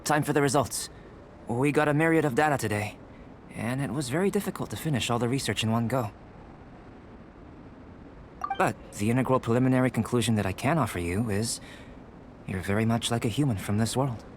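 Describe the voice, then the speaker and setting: A man playing a young alchemist in a video game, polite and curious, speaking in a measured, formal, thoughtful way. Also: mid-range voice, slight background noise, natural voice. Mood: composed, welcoming. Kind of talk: English video game character dialogue. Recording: music behind the voice.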